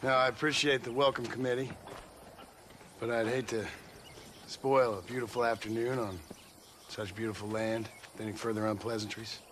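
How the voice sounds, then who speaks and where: Man playing a forceful, dry cowboy gunslinger. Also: mid-range voice, fairly even intonation, a little hiss or hum, close to an everyday voice. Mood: guarded, dry. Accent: American. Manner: dry, measured, sardonic. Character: gruff, weary American cowboy gunslinger, dryly polite but menacing. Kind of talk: video game cutscene acting.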